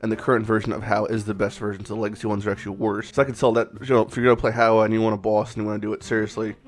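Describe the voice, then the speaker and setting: A man with a raspy voice, hoarse from a cold, hosting a casual game commentary vlog in a rambling, off-the-cuff way with some swearing. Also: lowish voice, level tone, racing. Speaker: gaming channel host.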